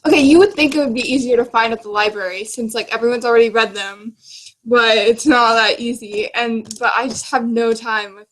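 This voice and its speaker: A woman's voice: fairly high pitch, steady tone, brisk, a bit bright. A young woman chatting casually about books in a giggly, rambling, informal way. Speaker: book discussion co-host. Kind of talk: casual book chat.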